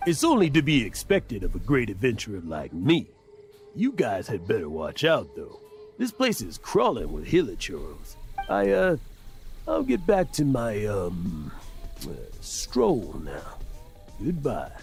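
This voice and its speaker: An actor playing an insecure adventurer in an anime video game, with a halting, dramatic delivery. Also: male voice, medium pitch, huge pitch swings, creaky, exaggerated voice. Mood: boastful, then nervous. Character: boastful, insecure, guilt-ridden adventurer man. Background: game music.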